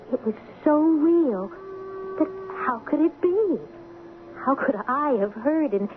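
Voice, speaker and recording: Radio drama teen heroine: dreamy, slow, higher-pitched, trance-like, thin phone-like sound. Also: female voice. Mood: bewildered. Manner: wondering, halting, trance-like. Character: dreamy, entranced fifteen-year-old girl recounting her visions. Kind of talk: old-time radio drama narration. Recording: narrow, phone-like old radio recording.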